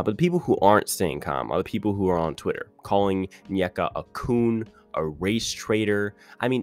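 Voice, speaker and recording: A man giving opinionated, ranting commentary on a reality show contestant in a casual monologue. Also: low voice. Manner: opinionated, ranting, quick with restarts.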